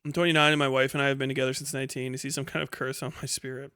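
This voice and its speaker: A man's voice: medium pitch, little pitch movement, rapid-fire, bright, forceful tone, clear, resonant tone, no echo, studio quality. A man in his thirties doing casual livestream commentary and reading chat in a blunt, sweary, incredulous way. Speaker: live streamer.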